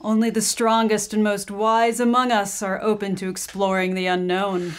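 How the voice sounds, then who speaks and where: An actress playing a gracious, solemn seance medium in an old-time radio drama, speaking formally and deliberately. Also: female voice, medium pitch, little pitch movement, clean tone, natural voice. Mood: gracious, welcoming.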